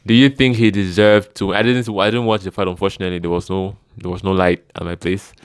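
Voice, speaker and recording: A man with a Cameroonian accent hosts a casual talk show in a deep voice. His sound is smooth and very clear. The recording is dry, with almost no room around the voice. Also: little pitch movement, fast, no room sound. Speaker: host, interviewer.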